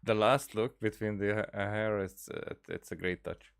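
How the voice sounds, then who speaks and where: A man's voice: on the low side, fairly even intonation, normal speed, creaky, clean tone. A man giving casual, amused reactions while playing a video game. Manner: amused, echoes phrases he hears. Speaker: game streamer. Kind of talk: casual let's-play reactions.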